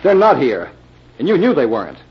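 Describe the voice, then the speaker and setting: Menacing radio thriller thug. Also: male voice, mid-range voice, wildly swooping pitch, brisk, breathy edge, heavily acted. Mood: threatening. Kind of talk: 1940s radio crime acting. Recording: muffled, hissy old radio recording.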